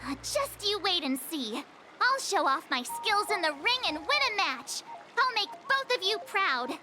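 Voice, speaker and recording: Eager teenage girl apprentice for anime game: very high, lively, earnest delivery. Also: a little slow, full character voice. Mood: eager, grateful. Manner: chirpy, earnest, expressive. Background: music.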